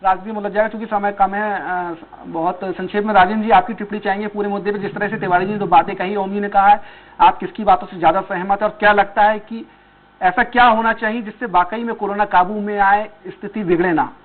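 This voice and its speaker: A man with a high voice moderates a live news debate. He interjects often and briskly moves his guests along. The sound is narrow and tinny, like a phone line. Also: steady tone. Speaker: debate show anchor. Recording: thin phone-like broadcast audio.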